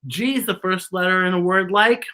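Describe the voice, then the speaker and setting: A woman's voice: lowish voice, normal speed, sharp tone. A woman hosting a children's story time in a playful, theatrical, giggly manner, teaching letters. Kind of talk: playful children's show presenting with letter lessons and singing.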